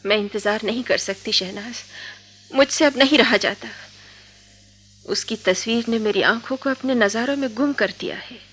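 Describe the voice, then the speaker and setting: A young woman from a wealthy home, hopelessly in love and defiant. Her voice is high and her delivery impassioned and theatrical, in the manner of Hindi radio drama. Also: moderate pace, a bit bright, breathy edge. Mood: anguished, lovesick. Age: young adult.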